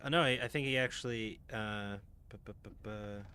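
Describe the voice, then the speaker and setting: A man's voice: on the low side, moderate pace, bright, forceful tone, clean tone. A man streaming a strategy game, chatting casually with a friend, laughing and swearing often. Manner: laughs a lot, swears, teasing banter. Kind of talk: casual gaming chat.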